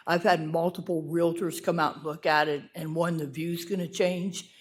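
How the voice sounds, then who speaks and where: A woman giving a loud, frank public comment as a neighbor in a council chamber. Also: lowish voice, fairly even intonation, moderate pace. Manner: loud, frank, self-mocking. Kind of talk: public comment at a city meeting.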